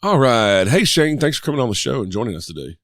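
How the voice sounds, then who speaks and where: A man's voice: medium pitch, sing-song, fast, fairly bright tone, dry recording, studio quality. A man hosting a podcast, easygoing and hesitant, with plenty of fillers. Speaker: podcast host. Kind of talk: casual interview chat.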